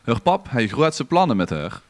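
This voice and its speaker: A man's voice: medium pitch, sing-song, normal speed. A man with a Limburgish Dutch accent telling a scripted story in a theatrical way as a podcast co-host. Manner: dramatic, bantering with co-hosts.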